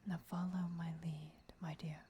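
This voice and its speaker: A woman playing a devoted, gentle vampire mentor and lover in a breathy, whispered ASMR roleplay, instructing in a soothing way. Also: lowish voice, flat delivery, normal speed, slight background noise. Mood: solemn, encouraging.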